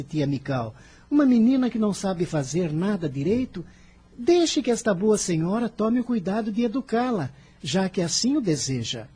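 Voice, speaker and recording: A man acts out a righteous young disciple from a radio play. The voice is high and slightly husky, swinging widely in pitch as he speaks with formal, bold conviction. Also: warm tone, exaggerated voice. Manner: formal, solemn, persuasive. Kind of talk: radio drama acting.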